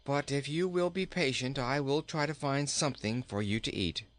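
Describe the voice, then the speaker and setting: A man's voice: medium pitch, normal speed, no echo, pitched above the performer's own voice, natural voice. A man voicing an earnest, sorrowful young boy in a plain, subdued manner. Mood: sad.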